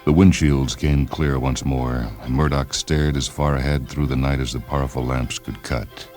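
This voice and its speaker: A man with an extremely deep voice narrates fiction for a radio program. His delivery is measured and deliberate, with faint music playing underneath. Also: steady tone, a little mellow. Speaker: narrator, story reader. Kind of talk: scripted dramatic narration of fiction.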